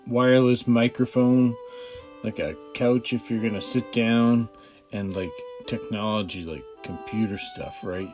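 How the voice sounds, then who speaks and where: Slow-talking low-voiced man. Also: steady tone, darkish tone. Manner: halting, profane, frequent throat-clearing. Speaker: amateur stand-up comedian. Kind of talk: rambling casual vlog chat. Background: guitar music.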